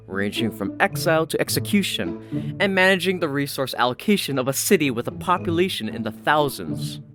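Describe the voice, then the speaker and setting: A man reading scripted fiction as a story narrator, with music playing behind him. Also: a little high. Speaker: audiobook-style story narrator.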